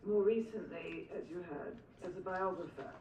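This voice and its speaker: An older woman from Philadelphia with some English traces in her accent gives an acceptance speech in a low voice. She speaks in a reflective way with small hesitations. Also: normal speed, warm tone, a little hiss or hum. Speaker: honoree giving acceptance speech. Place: school hall at an awards ceremony. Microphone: podium microphone. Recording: echoey hall, distant mic.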